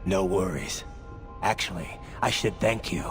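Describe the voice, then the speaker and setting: A brooding cyborg swordsman from an action game. He speaks on the low side in short, wry phrases, with music behind him. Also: expressive, measured pace, fairly bright tone, full character voice. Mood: wry, resigned. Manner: terse, wry.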